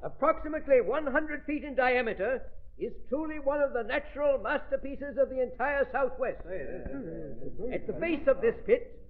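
A man's voice: very high-pitched, normal speed, slight background noise. An American man playing an informative, showmanlike tour guide in an old radio drama, declaiming as if to a group. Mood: grand, informative. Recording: tinny old radio recording.

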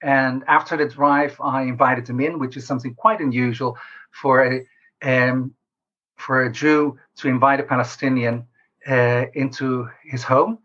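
A man answering interview questions as a researcher, explaining in a measured way with pauses mid-sentence. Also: mid-range voice, level tone, bright, forceful tone. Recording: slightly dull lo-fi call audio.

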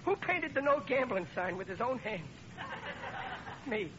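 Hapless American father, high voice, blustering, pleading, old radio comedy, very noisy recording. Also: sing-song, unhurried, highs cut off, exaggerated voice. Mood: indignant, pleading. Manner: blustering, pleading, rough colloquial grammar. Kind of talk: 1940s radio comedy acting.

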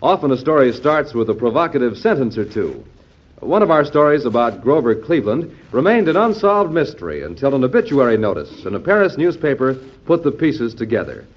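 A man's voice: medium pitch, big pitch swings, brisk. A man reading scripted announcements in the style of old-time radio. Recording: dull, band-limited old radio recording.

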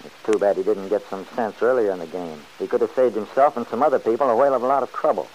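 Actor as low-voiced folksy grumbler, old radio. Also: male voice, fast, a little mellow, lo-fi sound, lightly acted. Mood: skeptical. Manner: folksy, grumbling, plain-spoken. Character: stubborn, folksy, good-hearted older male friend. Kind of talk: old-time radio serial acting. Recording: hissy old radio recording.

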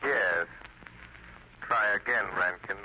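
A mysterious invisible avenger of 1930s radio drama, commanding and taunting. He speaks slowly and deliberately at a middling pitch, on a dull old recording thick with noise. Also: sharp tone, heavily acted. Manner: slow, deliberate, ominous.

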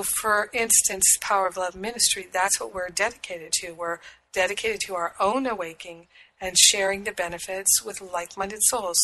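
A woman hosting a spiritual radio talk, her voice husky and hoarse from a cold, speaking slowly with light laughs. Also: mid-range voice, pushed and bright, slight background noise, low-quality recording. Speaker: radio show host and spiritual teacher.

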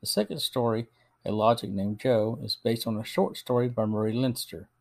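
A man hosts a podcast with a scripted review. His voice sits at an average pitch, and he narrates in the manner of someone reading prepared notes. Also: steady tone, normal speed, a little mellow. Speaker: podcast host.

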